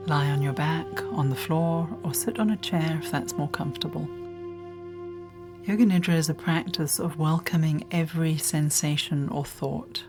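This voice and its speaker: A woman guides a meditation in a low voice, gentle and soothing. She leaves long, unhurried pauses between phrases. Music plays clearly behind her.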